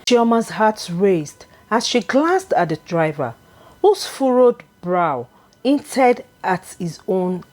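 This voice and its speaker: A woman narrating a scripted folktale in a deliberate, expressive, dramatic storytelling manner. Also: medium pitch.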